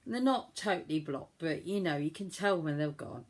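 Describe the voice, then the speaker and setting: A woman with a cold, croaky voice, chatting casually through a makeup tutorial in a self-deprecating way. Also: low voice, animated, normal speed, a little mellow. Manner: chatty, self-deprecating, talks while working. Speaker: beauty vlogger.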